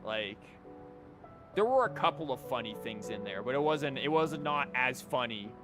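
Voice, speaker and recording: Male game streamer, mid-pitched, casual rambling chat with music behind him. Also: animated, moderate pace. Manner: rambling, conversational.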